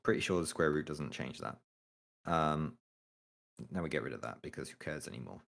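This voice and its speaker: A young man with an extremely deep, low voice talks through physics equations. His casual delivery is halting and full of ums, with the odd swear word. He sounds offhand about the material. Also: creaky, fairly bright tone. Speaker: co-host explaining astrophysics. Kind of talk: casual study chat.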